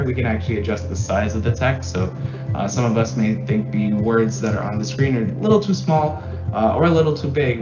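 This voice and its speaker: Easygoing man demoing software, echoey and dull. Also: lowish voice. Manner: relaxed, fills with "uh" and "um", repeats words for emphasis. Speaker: school technology presenter. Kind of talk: screen-share software demo.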